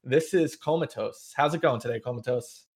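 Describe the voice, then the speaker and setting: A man's voice: mid-range voice, moderate pace. A young man hosting a podcast, opening with a read intro and then asking casual questions. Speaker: podcast host. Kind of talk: podcast interview.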